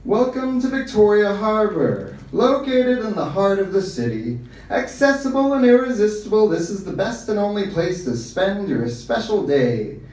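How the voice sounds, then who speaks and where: A glossy, salesy public-announcement voice, as a man reading a story puts it on, pitched up high and pushed loud and cheerful. It rings through a very echoey room, heard from some distance off the mic with a faint hum behind it. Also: sing-song, moderate pace. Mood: promotional. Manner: bright promotional, salesy. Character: slick upbeat public announcement voice.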